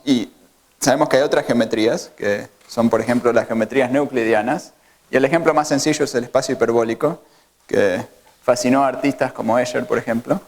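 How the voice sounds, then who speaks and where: A man's voice: medium pitch, vocal fry. A middle-aged man with an Argentine Spanish accent, giving a hesitant academic lecture in a university auditorium, with many fillers and restarts. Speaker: guest lecturer. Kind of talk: academic colloquium talk.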